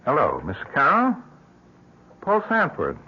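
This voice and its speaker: An actor playing a patient, grieving middle-aged husband in a 1970s radio drama, speaking in an earnest, placating way. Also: male voice, a little high, huge pitch swings, unhurried, husky, heavily acted. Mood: businesslike. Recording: dull lo-fi old radio broadcast.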